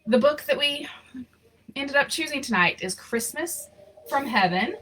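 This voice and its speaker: A woman reading a picture book aloud in an expressive storytelling style, with casual, informal asides. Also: high voice, measured pace, poor audio. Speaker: reader of picture books aloud.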